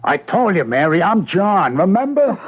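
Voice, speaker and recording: A man's voice: high voice, very animated, normal speed, slightly rough, heavily acted. An American actor playing a desperate man trapped in another man's body in a 1970s radio drama, speaking urgently and insistently. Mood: earnest, urgent. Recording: dull 1970s AM radio broadcast recording.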